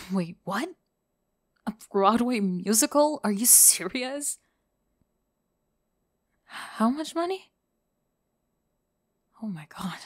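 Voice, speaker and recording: A woman playing a weary, upset young pop star, speaking softly and breathily with sighs in an intimate ASMR roleplay. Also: fairly high pitch, animated, slow, pauses often. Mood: flustered, stunned. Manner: soft, breathy, sighing.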